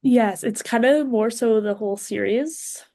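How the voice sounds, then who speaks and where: A woman's voice: fairly high pitch, a little slow, mellow, no room sound, studio quality. A woman guesting on a podcast, chatting casually and enthusiastically about movies.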